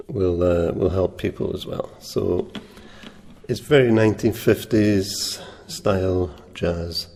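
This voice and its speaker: A soft-spoken man teaching a guitar lesson, unhurried, pausing often with ums and uhs. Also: low voice, gravelly, slight background noise. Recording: reverberant room with guitar playing.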